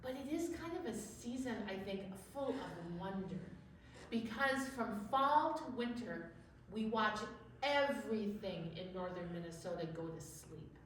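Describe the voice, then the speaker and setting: A woman's voice: medium pitch, lively intonation, unhurried. A woman hosting an interactive children's storytime, encouraging and full of questions for her young listeners. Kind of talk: interactive storytime talk with slow sung finger play. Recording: very echoey room, distant mic, faint noise.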